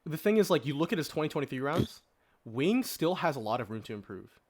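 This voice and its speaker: A man's voice: fairly high pitch, very animated, fast talker, a little mellow, slight background noise. A man co-hosting a podcast, giving his opinions emphatically in casual conversation. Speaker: podcast co-host. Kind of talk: casual chat.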